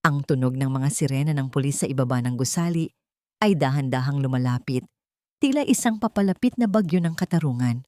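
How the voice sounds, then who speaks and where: A woman narrating a dramatic story in a steady, dramatic manner. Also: very deep voice, brisk, dry recording, studio quality.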